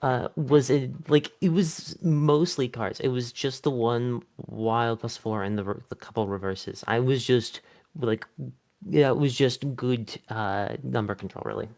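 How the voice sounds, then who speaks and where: A man giving a casual post-game interview as a player, thinking aloud in a halting way. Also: mid-range voice, steady tone, darkish tone.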